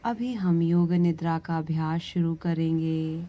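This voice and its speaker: A woman leads a guided relaxation meditation, giving gentle, soothing instructions with long pauses between phrases. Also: deep voice, fairly even intonation, dull audio. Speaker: yoga nidra meditation instructor.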